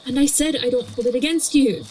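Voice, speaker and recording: Unbothered young woman, actress: highish voice, blunt, deadpan, a little noise behind. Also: brisk, close to an everyday voice, rough recording. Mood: dry, unbothered. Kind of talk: podfic audio drama acting.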